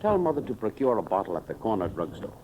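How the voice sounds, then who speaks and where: A man announcing and pitching in emphatic, declamatory scripted style, on a hissy 1930s radio recording. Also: sing-song, quick pace, highs cut off.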